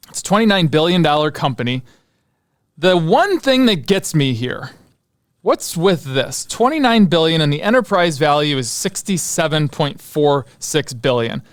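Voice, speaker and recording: Clear-voiced man, casual stock commentary. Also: fairly high pitch, no echo, clean studio sound. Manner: informal, thinking aloud, muttering asides to himself. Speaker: host of a stock analysis show.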